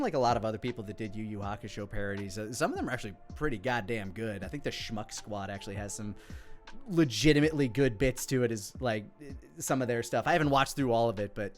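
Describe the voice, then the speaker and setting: A man giving casual, enthusiastic creator commentary on his own parody series, swearing casually. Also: mid-range voice.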